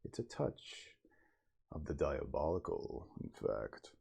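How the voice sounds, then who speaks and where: Man playing an earnest, husky, uneasy gentleman. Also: rumbling low, very animated, slow pace, heavily acted. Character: earnest, uneasy, inquisitive scientific gentleman castaway. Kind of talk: storybook character dialogue.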